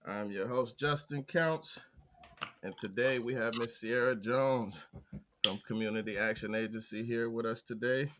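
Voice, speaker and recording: A man hosting a podcast, talking in a low-key, unhurried way with frequent filler words, with an American Midwest accent. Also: dull audio, noisy background. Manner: low-key, slow, hesitant with many "uh" fillers. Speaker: podcast host. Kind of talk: casual interview talk.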